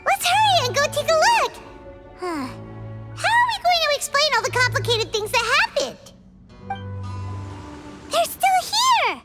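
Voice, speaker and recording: A woman voicing a tiny, childlike fairy companion in a video game, earnest and excitable with a squeaky manner. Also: unhurried, long pauses, over loud music, exaggerated voice. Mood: excited, worried. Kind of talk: scripted video game voice acting.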